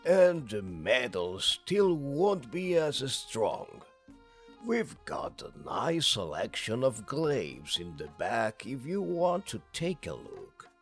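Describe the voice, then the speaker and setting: A man playing a dry, reluctant fantasy weapon smith in an animated fandub, speaking in a hesitant, drawn-out way. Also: mid-range voice, soft background music, exaggerated voice. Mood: patient, persuading. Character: gruff, reluctant weapon smith who relents, fantasy shopkeeper.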